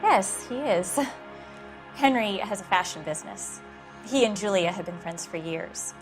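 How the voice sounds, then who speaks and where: An actress playing a refined, guarded young fiancée in a 1940s detective video game. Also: female voice, mid-range voice, lively intonation, a little slow, over music, close to an everyday voice, low-quality recording.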